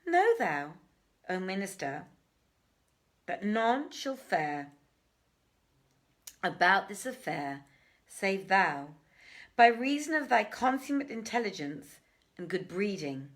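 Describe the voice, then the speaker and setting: A woman puts on an imperious storybook king, eager and grandly formal. The voice is emphatic and ornate, with big swings in pitch. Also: very animated, slow, pauses often. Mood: commanding, eager.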